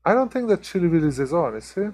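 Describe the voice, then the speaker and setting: Chatty Hungarian-accented streamer. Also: male voice, a little high, big pitch swings, quick pace, a little mellow. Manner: chatty, talks to viewers by name, many ums and restarts. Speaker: livestream host. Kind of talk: casual chat with live viewers.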